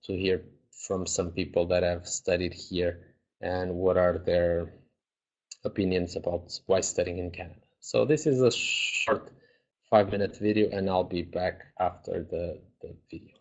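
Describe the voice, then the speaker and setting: A man coordinating a graduate program, calmly giving advice in an online information session, with fillers and restarts. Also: low voice, a little slow. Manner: calm, advising, with fillers and restarts. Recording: dull lo-fi recording.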